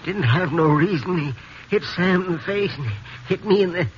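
An actor playing a wounded, bewildered Old West townsman, breathy and weak, gasping out halting rustic speech in 1950s radio drama. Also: high voice, close to an everyday voice. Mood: pained, bewildered. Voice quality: breathy, full of air. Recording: muffled, noisy 1950s radio recording.

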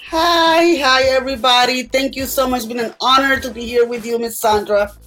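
Higher-pitched Puerto Rican woman telling her story. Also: fairly even intonation, moderate pace, a bit bright, soft background music. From Puerto Rico. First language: Spanish. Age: middle-aged. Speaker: interview guest, healthcare worker. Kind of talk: personal storytelling in interview.

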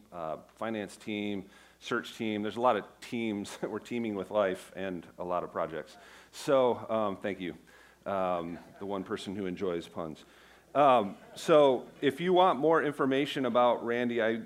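A man, a pastor, giving church announcements and interviewing a congregant in a casual, self-deprecating way. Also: fairly low pitch.

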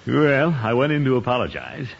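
An actor playing a pompous, hearty middle-aged water commissioner in a 1950s radio comedy, blustering and chuckling. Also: male voice, mid-range voice, big pitch swings, normal speed, gravelly. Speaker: lead of a radio sitcom. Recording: muffled old radio broadcast with hiss.

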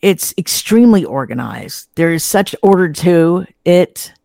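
A woman's voice: lowish voice, expressive, a little slow, creaky, mellow, no room sound, studio quality. A woman co-hosting a podcast, speaking emphatically about politics. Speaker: podcast co-host. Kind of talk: political chat.

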